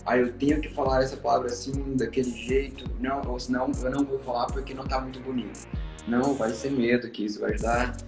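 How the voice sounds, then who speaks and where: Brazilian male English teacher, upbeat encouraging explainer, talks fast, echoey room sound. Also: mid-range voice, level tone, over music. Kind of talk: casual conversation giving advice. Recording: roomy echo.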